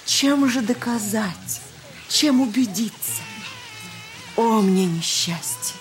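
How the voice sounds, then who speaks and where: An actress playing an effusive, sentimental society lady in a Russian audio drama, with a halting, melodramatic delivery. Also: fairly high pitch, lively intonation, soft background music. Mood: desperate.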